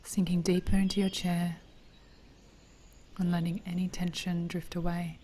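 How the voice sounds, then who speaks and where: A woman leading a guided meditation in a gentle, soothing, hushed voice, with phrases trailing into breath. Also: on the low side, little pitch movement, normal speed, a little hiss or hum.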